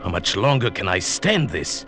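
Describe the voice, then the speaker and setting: An actor playing a meek, henpecked older botany professor in an old-time radio drama, speaking mildly and hesitantly. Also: male voice, sits low, big pitch swings, moderate pace, loud music behind the voice. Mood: resentful. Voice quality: mild, hesitant.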